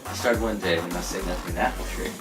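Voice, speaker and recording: A man playing an earnest scientist guest with a low, deep voice, reciting his life story in a stiff, rehearsed way. He sits away from the mic in an echoey room, with electronic music playing behind him. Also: very fast, close to an everyday voice. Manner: stiff, recited delivery with stumbles. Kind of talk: amateur talk-show skit. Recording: roomy, distant, music behind.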